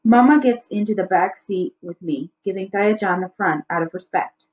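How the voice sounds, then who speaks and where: A woman's voice: mid-range voice, normal speed, dull audio. A woman reading a children's novel aloud as a teacher would, in storybook narration.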